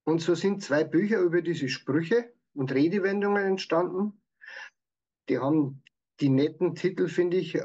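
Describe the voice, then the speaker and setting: A man's voice: on the high side, darkish tone, breathy edge, lo-fi sound. A man with a Bavarian accent, explaining things in prepared answers as an author being interviewed. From Bavaria, Germany. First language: Bavarian dialect.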